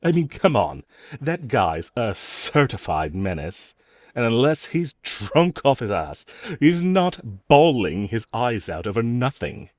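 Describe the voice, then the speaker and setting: Male actor as mocking villain, unhurried sarcastic drawl, very expressive, flirtatious, unrepentant, close dry audio roleplay. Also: mid-range voice, dry recording. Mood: smug, amused. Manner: teasing, sarcastic drawl with chuckles. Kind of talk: audio roleplay acting, addressed to listener.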